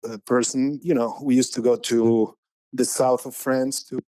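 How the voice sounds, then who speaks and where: A man's voice: medium pitch, level tone, normal speed, mellow, no echo, rough recording. A man in his sixties from Spain with a Spanish accent, a painter talking casually as an interview guest, rambling with many fillers and restarts. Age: 60s.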